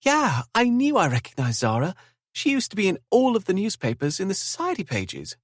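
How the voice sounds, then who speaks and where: A man voicing G, an impulsive, chatty young woman who is quick to apologize and cry. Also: high-pitched, huge pitch swings, no room sound, clean studio sound, pitched above the performer's own voice, full character voice. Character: young woman G, impulsive, gushing, easily tearful.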